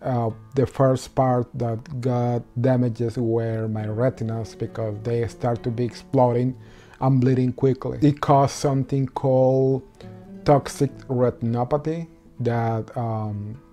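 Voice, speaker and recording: A man with a Venezuelan accent, a Spanish speaker, telling his own story in halting English with many pauses and restarts. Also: medium pitch, fairly even intonation, mellow, soft background music. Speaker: interviewee. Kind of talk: personal story interview.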